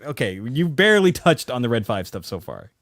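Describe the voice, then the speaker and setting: A man's voice: mid-range voice, sing-song, brisk, a bit bright, no room sound. A man co-hosting a podcast in casual chat, dry and easygoing, laughing along. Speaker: podcast co-host. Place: indoor studio.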